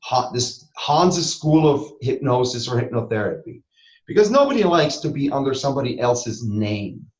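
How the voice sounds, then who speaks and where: A man's voice: medium pitch, very animated, normal speed, darkish tone. Man in a roomy, dull recording. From Switzerland. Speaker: interview guest, hypnosis trainer and school owner. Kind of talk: conversational interview. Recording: roomy echo, slightly dull sound.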